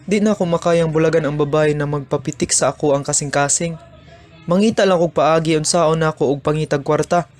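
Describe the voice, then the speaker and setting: A man's voice: a little high, fairly even intonation, brisk, a little mellow, faint music behind, lightly acted. A man with a Cebuano accent playing a lovesick, broke young boyfriend in a student radio drama. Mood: earnest.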